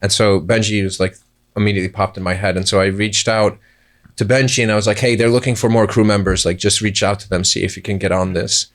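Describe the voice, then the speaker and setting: A man with a low, level voice describes his crew work in a relaxed guest interview. He rambles and restarts as he explains, correcting himself along the way. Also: fast. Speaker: film crew member, first camera assistant. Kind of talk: casual interview answers.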